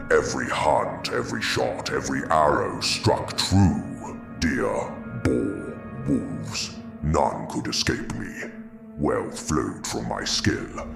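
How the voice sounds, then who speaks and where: A man plays an old undead hunter narrating a dark gothic folk tale. His gravelly, brooding voice moves slowly and ominously, with swelling, widely varied intonation. It sits in a roomy echo with music behind it. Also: exaggerated voice. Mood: brooding, regretful. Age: older. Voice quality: gravelly, very deep. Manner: slow, brooding, ominous storytelling. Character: undead old male hunter, cursed shadow, brooding and haunted. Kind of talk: scripted first-person gothic horror narration.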